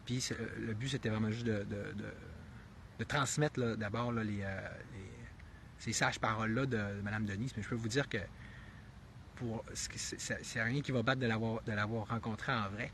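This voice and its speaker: A man's voice: fairly low pitch, fairly even intonation, normal speed, a bit bright, slight background noise. A man with a Québécois accent giving unscripted live commentary, rambling and thinking aloud with many restarts and fillers, earnest and given to emphatic rhetorical questions. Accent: Québécois French. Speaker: live streamer.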